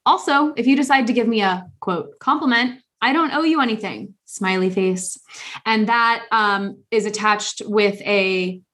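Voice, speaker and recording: A woman co-hosting a podcast in a casual chat, animated, laughing often and talking with many fillers. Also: mid-range voice, measured pace. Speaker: podcast co-host.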